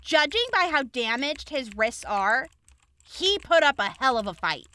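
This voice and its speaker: A woman acting a brisk, clinical young examiner in a post-apocalyptic audio drama, explaining gory details frankly. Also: high-pitched, big pitch swings, pushed and bright, heavily acted. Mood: clinical, focused.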